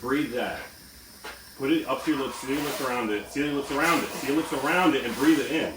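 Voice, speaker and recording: A man's voice: medium pitch, a little fast. A man co-hosting a casual podcast, teasing his friend and giving bossy, dry instructions. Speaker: podcast co-host. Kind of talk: casual group podcast banter. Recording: roomy sound from a distant mic, faint room noise.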